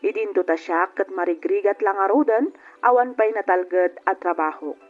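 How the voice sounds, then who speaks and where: An actor playing a humble letter sender who narrates his story for a radio drama, formal and measured. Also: high voice. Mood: earnest. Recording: dull lo-fi radio recording. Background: music.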